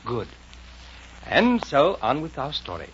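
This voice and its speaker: An actor playing a gentle, pedantic gentleman who tells stories to a child with precise, slightly hesitant, instructive delivery. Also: fairly high pitch, wildly swooping pitch, slow, a little hiss or hum, full character voice. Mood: instructive, measured. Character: gentle, pedantic storytelling gentleman, Mister Dodson. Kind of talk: old film drama acting, reading a story to a child. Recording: dull lo-fi vintage soundtrack, faint music.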